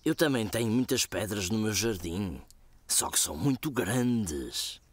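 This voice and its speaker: A man's voice: on the low side, animated, a little slow, husky. An actor playing a kind, playful elderly grandfather in a cartoon dub, with a European Portuguese accent. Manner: gentle, encouraging.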